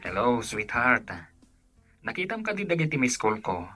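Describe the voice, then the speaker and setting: An actor with an Ilocano accent playing a clingy, lovesick boyfriend with a husky voice in a radio drama. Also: male voice, mid-range voice, very animated, moderate pace, bright, forceful tone, soft background music. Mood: sweet, pleading.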